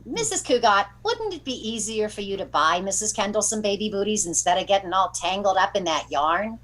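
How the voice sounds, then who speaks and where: Woman doing wry maid from old time radio comedy, practical, polite but sardonic, really lively intonation. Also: medium pitch, a little fast, low-quality recording. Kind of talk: 1940s radio comedy acting.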